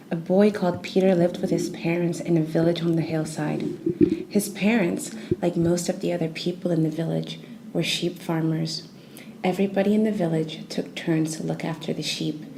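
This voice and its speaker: A woman storyteller reading a children's bedtime tale in a gentle, expressive, soothing narration style. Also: lowish voice, gravelly, a little hiss or hum, poor audio.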